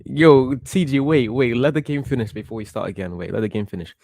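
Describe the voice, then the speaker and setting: A young man from Portugal, about eighteen, hosting a casual football watchalong stream in an excitable, reactive way and often repeating himself. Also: medium pitch, a little fast, gravelly, no room sound, studio quality. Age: young adult, about 18. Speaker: livestream host.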